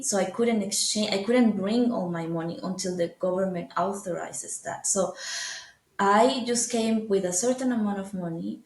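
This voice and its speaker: A woman's voice: mid-range voice, darkish tone. Venezuelan woman speaking English in an echoey room. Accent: Venezuelan Spanish. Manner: thoughtful, frequent fillers and restarts, laughs easily. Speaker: guest. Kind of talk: casual interview talk.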